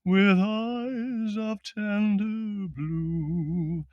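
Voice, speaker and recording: Man doing a tipsy, very British baritone gent. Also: slow pace, no echo, lo-fi sound. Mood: sentimental singing. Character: tipsy, very British gentleman baritone, sighing and sentimental.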